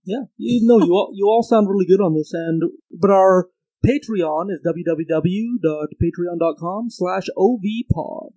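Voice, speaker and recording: A man from Saskatchewan, a podcast co-host, chatting casually in a breezy way and sliding into a smooth baritone to end his sentences. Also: a little slow, dark tone, low-quality recording. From Saskatchewan, Canada. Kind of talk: casual chat.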